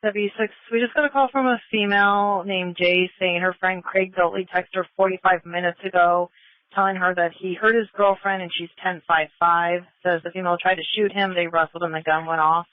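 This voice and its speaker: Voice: mid-range voice, fairly even intonation, brisk, no room sound, narrow, tinny audio. A police dispatcher on a scanner radio, relaying and repeating messages in a clipped, businesslike way with radio codes. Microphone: two-way radio.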